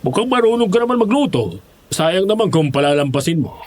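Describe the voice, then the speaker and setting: Guy doing smooth-talking pier recruiter, pushy coaxing pitch man, voice a bit high, radio play. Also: sing-song, fairly quick. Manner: coaxing sales talk. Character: persuasive, glib male recruiter on a pier. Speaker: actor in an audio drama. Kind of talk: Tagalog horror audio drama acting.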